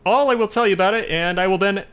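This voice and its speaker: A man's voice: sits high, rapid-fire, fairly bright tone, smooth. A man co-hosting a film podcast, talking casually and explaining his points as he goes. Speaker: podcast co-host.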